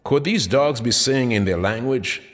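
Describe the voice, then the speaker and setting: Man narrating motivationally, lively pitch, long reverb. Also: a little slow. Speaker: author reading own audiobook. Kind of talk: scripted motivational audiobook narration.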